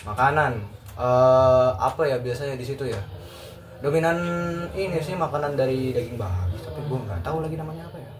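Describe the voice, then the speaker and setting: A teenage boy from North Sumatra chatting casually with friends, often pausing to search for words. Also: medium pitch, animated, recorded from a distance. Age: teens. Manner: hesitant, searching for words, slangy. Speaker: student. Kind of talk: casual chat. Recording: echoey room with faint noise.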